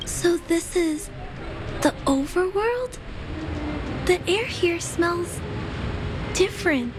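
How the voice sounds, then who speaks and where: A very high, childlike voice, a wide-eyed youngster full of wonder in a video game. The words come slowly. There is clear rumbling noise behind the voice. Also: female voice, animated, heavily acted. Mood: awed, curious.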